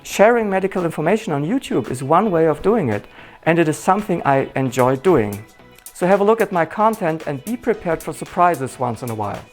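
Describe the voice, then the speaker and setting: Man, German accent, middle-aged, channel host, scripted and dry-humored, slightly high pitch. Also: very animated, brisk, soft background music.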